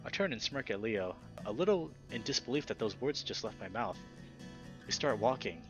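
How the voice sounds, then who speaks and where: A man hosts a visual novel playthrough with an expressive, very varied pitch. He moves between reading narration and casual commentary. The sound is a little dull, with music playing behind him. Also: normal speed. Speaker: gaming video host reading a visual novel. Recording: slightly dull recording with background music. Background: game music.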